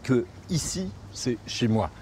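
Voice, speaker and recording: Thoughtful, halting man recalling his life. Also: medium pitch, expressive, darkish tone, a little hiss or hum. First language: Spanish. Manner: thoughtful, halting, repeats and rephrases. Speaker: interviewee. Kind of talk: reflective documentary interview.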